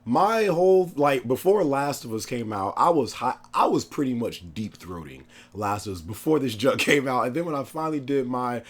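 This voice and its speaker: A man with a cold, his voice hoarse, chatting excitedly about a video game on his channel, slangy and laughing often. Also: mid-range voice, moderate pace, few pauses. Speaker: gaming YouTuber. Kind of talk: casual gaming chat.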